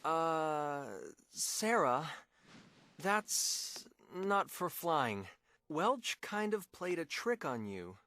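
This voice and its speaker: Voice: medium pitch, big pitch swings, very slow, sharp tone, no room sound, exaggerated voice. An actor voicing a good-natured, hesitant young man, the easily flustered hero of a video game. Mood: bemused. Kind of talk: English video game dub acting.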